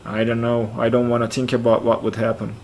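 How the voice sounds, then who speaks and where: A man in his forties with a Turkish accent, a native Turkish speaker, talking in a relaxed live-stream way. He reads out chat and answers it, thinking aloud as he goes. His voice sits in a middling pitch. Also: brisk, a little hiss or hum. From central Turkey. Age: 40s. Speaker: flight sim streamer.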